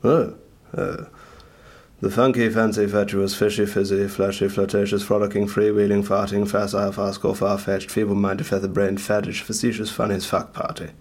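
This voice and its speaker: An actor playing an earnest, silly leader of a fringe party in an audio comedy drama, deadpan and slightly hesitant. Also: male voice, fairly low pitch, normal speed.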